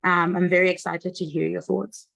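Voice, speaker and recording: A woman's voice: lowish voice, level tone, normal speed, creaky, fairly bright tone. A woman moderating an online panel over a video call, friendly and with filler ums.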